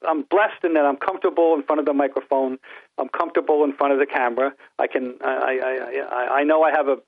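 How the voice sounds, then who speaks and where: A man's voice: medium pitch, no echo. Older man with a New England accent on a tinny phone line. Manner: rambling, many restarts and repeated words. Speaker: guest sportswriter. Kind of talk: casual podcast interview.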